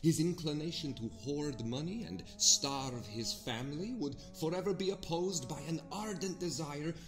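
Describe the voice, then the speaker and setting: A man's voice: on the high side, expressive, normal speed, close to an everyday voice. A man voicing an old male scholar in an audio drama, speaking in grand, old-fashioned lecturing tones. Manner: archaic, grandly lecturing speech. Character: old male scholar with an ancient scroll, learned and earnest. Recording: roomy reverb, music bed.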